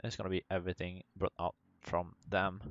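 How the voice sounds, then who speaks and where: A man who is not a native English speaker, commentating a competitive online shooter match in a relaxed, halting way with many fillers. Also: low-pitched, highs cut off, a little hiss or hum. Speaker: esports caster. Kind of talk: play-by-play game commentary.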